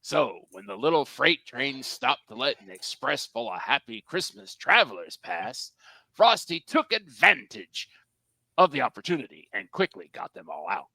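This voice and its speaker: A man's voice: medium pitch, sing-song, normal speed, pushed and bright, dry recording, rough recording. A man narrating a children's story at a live script reading, with a storyteller's emphasis and wry asides.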